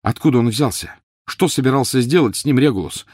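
A man voicing an urgent, commanding teenage boy hero in a storybook reading, with a forceful, demanding manner. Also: medium pitch, brisk, slightly rough, no echo, studio quality, pitched above the performer's own voice, lightly acted. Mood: insistent.

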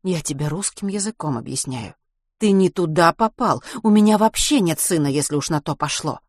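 A stern adult woman in an audiobook, played by a female narrator, speaking in a lowish, controlled voice. She is cool and dismissive, and the sound is dry. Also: expressive, normal speed, dry recording. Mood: stern, detached.

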